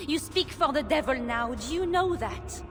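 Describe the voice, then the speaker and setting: A woman's voice: sits high, lively intonation. A woman playing a fanatical, cruel religious zealot in a video game, grandiose and menacing. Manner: menacing, grandiose, slow and deliberate. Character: fanatical cruel female religious zealot interrogating a captive queen. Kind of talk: dramatic video game acting. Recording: long hall reverb, loud music behind.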